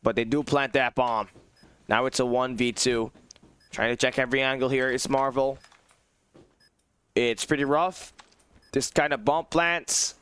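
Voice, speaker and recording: A man giving live play-by-play commentary on an esports match, his voice tired and hoarse, excitable and rising to shouts at big plays. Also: medium pitch. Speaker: esports caster. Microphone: phone on a low battery.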